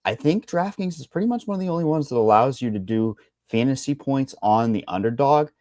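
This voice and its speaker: A man's voice: medium pitch, lively intonation, fairly quick, darkish tone, no echo, clean studio sound. A man with a Boston accent, co-hosting a casual fight betting chat, rambling through odds with blunt, salty asides. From Boston, Massachusetts. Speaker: MMA betting analyst.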